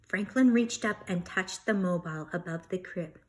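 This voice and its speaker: A woman reading a children's story aloud like a teacher, expressively, pausing to comment and instruct. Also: on the low side.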